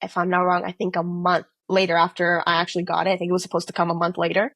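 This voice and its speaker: A teenage girl, an exchange student, telling her story in a casual interview, animated and quick to quote people. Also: on the low side, no echo, studio quality. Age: teens.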